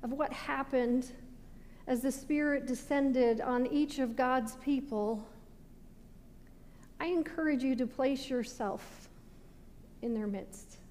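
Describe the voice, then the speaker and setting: A middle-aged American woman, a pastor, giving a sermon with measured, deliberate phrasing that falls away at sentence ends. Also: high voice, a little mellow. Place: indoor stone-walled church sanctuary at a pulpit. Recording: reverberant church hall with background noise.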